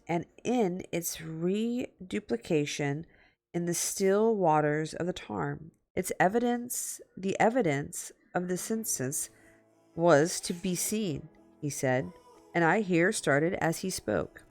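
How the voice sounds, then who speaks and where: A woman streamer reading a story aloud in a dramatic, halting way, slipping into casual asides. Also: fairly low pitch. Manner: halting, repeats and corrects stumbled words.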